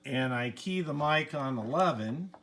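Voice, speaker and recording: A man giving a casual, unhurried, rambling demonstration as a hobbyist presenting a video. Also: mid-range voice, lively intonation, vocal fry, fairly bright tone, slight background noise.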